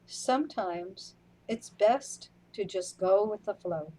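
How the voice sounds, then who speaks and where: A woman playing a wry, weary companion in a radio play, speaking in a deliberate way. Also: medium pitch, mellow, poor audio. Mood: wry, unruffled. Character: unhurried, matter-of-fact companion, wry and weary.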